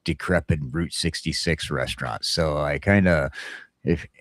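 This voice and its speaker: A man with a very low, deep voice hosts a laid-back morning chat. He jokes at his own expense in an informal, conversational way. The sound is dry and close, free of echo. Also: unhurried, a bit bright. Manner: joking, self-deprecating. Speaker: talk show host. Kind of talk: casual morning chat show.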